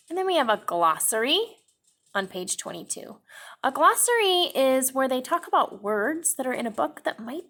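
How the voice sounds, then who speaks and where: A young woman teacher reads a picture book to children at a slow, patient pace. Her pitch swings widely as she explains words and pages, and her bright, clear tone carries a gravelly edge at the ends of phrases. She is recorded close on a desk webcam in a classroom. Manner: slow, expressive storytime reading with explanations.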